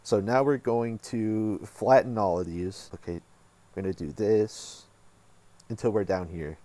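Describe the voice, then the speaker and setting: A man with a somewhat low voice walks viewers through software as a tutorial host. His delivery is casual and informal, and he thinks aloud while he works. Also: unhurried, no echo.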